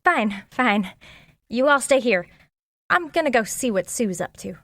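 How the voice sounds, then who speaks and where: A fairly high voice with a dry studio sound, for a level-headed amateur detective's partner. She is helpful and conciliatory in a radio comedy. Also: lively intonation, measured pace, fairly bright tone, no echo, full character voice. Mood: placating. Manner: reasonable, conciliatory. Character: sensible, helpful female sidekick sleuth, quick to smooth things. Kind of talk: comic audio drama acting.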